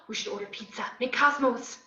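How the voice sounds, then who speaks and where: A woman playing a brash, playful, unhinged young antiheroine with animated, theatrical delivery in an amateur film script table reading on a video call. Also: fairly high pitch, normal speed. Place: home, on a group video call. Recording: roomy, dull video-call audio.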